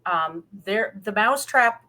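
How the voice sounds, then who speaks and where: A woman's voice: mid-range voice, expressive, unhurried, pushed and bright. A woman co-hosting a podcast in casual discussion, chipping in with facts and corrections. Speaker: podcast co-host.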